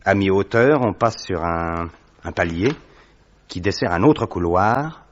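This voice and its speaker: A man voicing a worried young male government clerk in a radio drama, recounting events in a measured, hesitant way. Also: sits low, lively intonation, slight background noise. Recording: dull muffled audio recording.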